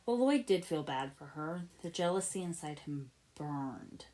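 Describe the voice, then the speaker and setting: Low-pitched woman narrating a story. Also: animated, measured pace, dark tone, rough recording. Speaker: amateur audiobook narrator of fan fiction. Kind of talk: expressive scripted story narration.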